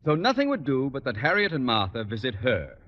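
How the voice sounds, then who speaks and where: A man's voice: medium pitch, huge pitch swings. An American man acting a pompous, grudging middle-aged principal in an old radio sitcom, speaking formally. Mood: blustery, self-pitying. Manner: formal, pompous, halting when embarrassed. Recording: muffled, hissy old radio broadcast.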